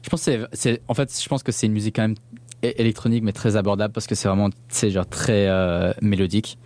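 A man's voice: lowish voice, steady tone, quick pace, a little mellow. A young man from Belgium, born in northern England, chatting casually as an interview guest in informal, slangy speech mixed with English words. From born in northern England, grew up in Belgium. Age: young adult.

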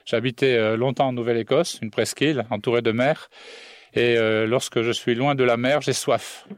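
A man's voice: mid-range voice, steady tone, normal speed, no room sound, clean studio sound. Hesitant middle-aged man from southern France. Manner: hesitant, many fillers and restarts, soft laughs. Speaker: poet and French teacher. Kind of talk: radio interview. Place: radio studio. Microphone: studio mic.

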